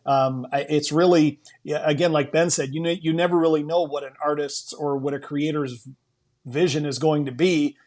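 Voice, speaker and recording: A man's voice: on the high side, steady tone, normal speed, a little mellow. A man co-hosting an interview show in casual chat, rambling and circling around his point before landing it. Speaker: interviewer, podcast co-host.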